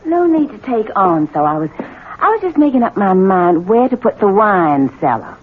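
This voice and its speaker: An actress with an American Southern accent playing a spoiled, scheming young bride, coquettish and imperious, in a 1970s radio drama. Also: female voice, mid-range voice, big pitch swings, moderate pace, slightly rough, phone-quality sound. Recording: band-limited, noisy old radio recording.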